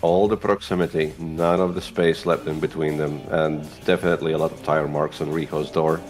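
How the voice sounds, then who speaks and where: A man's voice: rumbling low, flat delivery, brisk, a little mellow. A man from Latvia giving casual race commentary as the second voice on an esports broadcast. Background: music.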